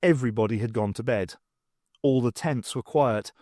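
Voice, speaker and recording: A man narrating fiction as an audiobook reader, in an expressive scripted storytelling style. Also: mid-range voice, moderate pace, dry recording, clean studio sound.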